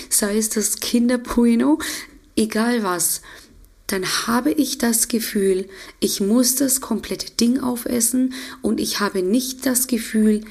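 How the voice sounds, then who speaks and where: A woman with a German accent hosting her podcast as an intuitive eating coach, slightly hoarse from a cold, chatty and often restarting her sentences. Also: fairly high pitch, a little mellow. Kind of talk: casual solo podcast talk.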